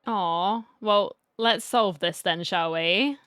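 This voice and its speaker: A woman's voice: mid-range voice, slow pace, fairly bright tone, clear, resonant tone, no echo, clean studio sound. A woman streamer giving casual playthrough commentary, with playful, wry asides. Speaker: game streamer.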